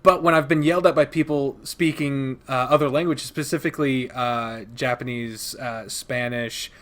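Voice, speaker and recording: A man with a Midwestern American accent hosting a casual podcast chat, swearing easily and pausing often on "uh". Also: mid-range voice, steady tone, fairly bright tone. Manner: casual, swears, many "uh" pauses.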